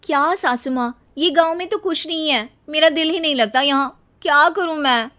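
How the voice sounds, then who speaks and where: An eager young city-bred wife, acted by a woman in a cartoon story. Her voice is high and expressive, full of determination as a budding fashion designer. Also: moderate pace. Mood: restless, pleading. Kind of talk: animated cartoon character voice.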